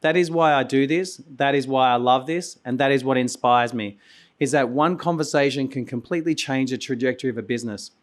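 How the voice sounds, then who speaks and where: A hoarse man, losing his voice, hosting a conference and giving a loose, rambling keynote full of restarts and coughs. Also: medium pitch, a little fast. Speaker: conference host and company founder. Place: conference venue.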